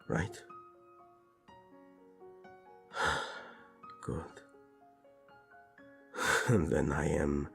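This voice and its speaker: A man playing a doting, teasing tyrant prince in a romantic audio roleplay, flirtatious and tender with a husky delivery. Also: very deep voice, full character voice. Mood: soft, apologetic.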